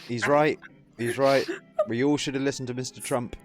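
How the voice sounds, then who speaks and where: A man's voice: mid-range voice, fairly even intonation, moderate pace, over music. Sardonic man on a podcast. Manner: sardonic, swearing, reads chat comments aloud. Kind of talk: casual group chat.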